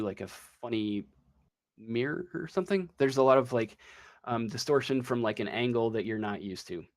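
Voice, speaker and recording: A man working as game master, improvising a tabletop role-playing story in a halting, drawn-out way. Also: mid-range voice.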